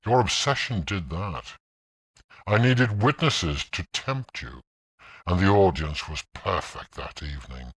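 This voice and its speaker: Vengeful theatrical ghost played by a man, low and slow, taunting, for a gothic audio drama. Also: expressive, breathy edge, no echo, full character voice. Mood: gloating. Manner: slow, menacing, declamatory. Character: vengeful male ghost, theatrical, coldly taunting his cousin. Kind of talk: gothic audio-play monologue.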